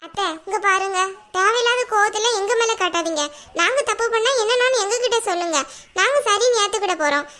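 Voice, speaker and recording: A woman plays a meek young daughter-in-law who pleads respectfully. Her voice is high and bright. She sounds puzzled and defensive. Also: brisk. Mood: pleading.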